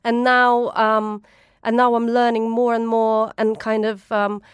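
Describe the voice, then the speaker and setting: Earnest woman with a smooth voice. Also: on the high side, flat delivery, unhurried, no echo, clean studio sound. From Ukraine. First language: Russian. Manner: earnest, speaks with feeling, frequent restarts. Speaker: guest, chef and food writer. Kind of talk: podcast interview answers.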